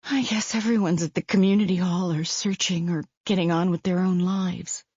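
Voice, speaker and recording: A woman's voice: fairly low pitch, fairly even intonation, moderate pace, darkish tone, slightly rough, dry recording, highs cut off, voice pushed up. A woman reading a distraught young mother who blames herself, her voice pleading and near breaking. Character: distraught young mother, tearful and self-blaming.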